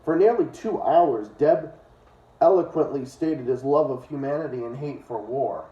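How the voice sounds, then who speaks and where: A man's voice: mid-range voice, normal speed, dark tone. Echoey, distant-sounding man reading aloud. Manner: opinionated, profane asides. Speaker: online reader and commentator. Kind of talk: reading a book aloud with ranting commentary. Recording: echoey room, distant dull microphone.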